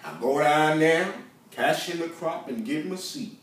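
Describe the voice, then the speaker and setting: A man plays a stubborn, scheming Southern farmer in a folksy stage monologue. He argues in a fairly high, very animated voice, stretching words for emphasis. The sound is heavily echoey. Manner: drawn-out emphatic words, hums and chuckles, argumentative. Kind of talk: theatrical monologue in folksy vernacular. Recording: very echoey room, distant microphone.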